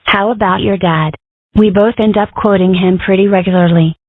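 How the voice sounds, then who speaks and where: A woman's voice: medium pitch, fairly even intonation, normal speed, dry recording, natural voice. A woman voicing a brash, eccentric cartoon schoolgirl who declares things bluntly and theatrically in an amateur comic dub. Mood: self-assured. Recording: thin, phone-like lo-fi audio.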